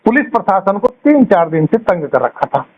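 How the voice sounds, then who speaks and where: A man's voice: high-pitched, very animated, moderate pace. A man talking informally on the phone, giving a long accusatory account in a blunt, scornful, colloquial way with rhetorical questions. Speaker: caller giving his account. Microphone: telephone. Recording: muffled phone call recording, clear noise.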